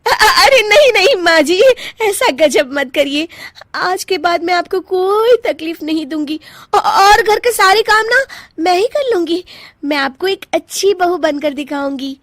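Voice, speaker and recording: A woman's voice: very high-pitched, lively intonation, measured pace, a bit bright, no echo, clean studio sound, voice pushed up, heavily acted. A woman voicing a spoiled young daughter-in-law in a cartoon moral story, whiny and melodramatic. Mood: panicked, pleading.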